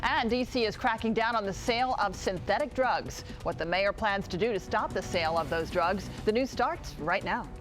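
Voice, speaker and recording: A woman anchoring a morning TV newscast, reading headlines and introducing colleagues in a brisk, bright manner. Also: mid-range voice, faint music behind. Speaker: news anchor. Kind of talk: scripted news reading.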